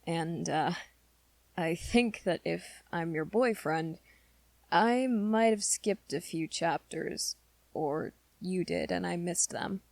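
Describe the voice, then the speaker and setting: A woman's voice: fairly low pitch, creaky, a little mellow, no room sound. A woman voicing an awkward, earnest teenage werewolf boy in an intimate, hesitant ASMR roleplay. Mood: bashful, unsure. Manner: hesitant, halting, rambling confession to listener.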